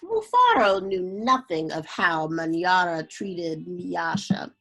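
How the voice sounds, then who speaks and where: A woman reading a children's story aloud in an expressive, dramatic way with unhurried phrasing. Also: lowish voice, soft background music, low-quality recording. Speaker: storybook reader.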